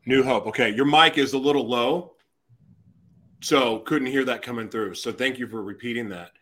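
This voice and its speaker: A man's voice: medium pitch, moderate pace, fairly bright tone. A man hosting an interview, enthusiastic and effusive with praise. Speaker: interview host. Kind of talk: casual interview chat.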